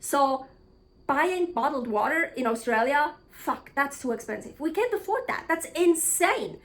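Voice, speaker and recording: A woman's voice: high voice, animated, unhurried, fairly bright tone. A woman from Switzerland, chatting casually in a travel vlog, blunt and frank, swearing freely and thinking aloud. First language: Swiss German. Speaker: travel vlogger.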